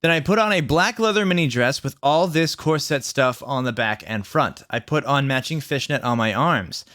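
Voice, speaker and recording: A man's voice: medium pitch, normal speed, fairly bright tone, natural voice. A man voicing a self-absorbed, melodramatic seventeen-year-old goth vampire witch girl narrating a fan fiction story. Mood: dramatic, gloomy.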